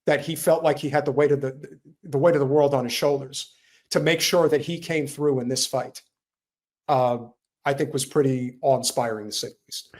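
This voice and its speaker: A man of middle pitch talks in a relaxed podcast conversation. He is earnest and a little halting, circling back and restarting phrases as he works his thoughts out. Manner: earnest, halting, repeats himself. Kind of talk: casual political chat.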